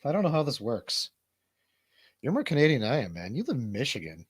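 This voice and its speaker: Male talk host, rambling and bantering, very animated intonation, close dry sound. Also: mid-range voice, fast, breathy edge, no echo. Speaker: radio show host and DJ. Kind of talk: casual chat.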